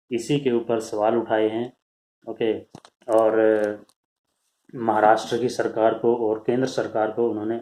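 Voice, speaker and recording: An Indian man gives news commentary in a mellow, fairly deep voice. He speaks haltingly and quite slowly, as a host talking without a script, often closing a thought with a brief tag word. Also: low-quality recording. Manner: halting, repeatedly says "okay" as a tag.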